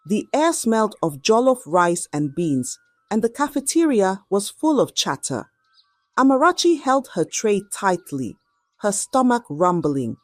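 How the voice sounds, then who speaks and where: A woman storyteller giving a dramatic scripted narration of a folktale in short, measured phrases. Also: medium pitch, very animated, no room sound, studio quality.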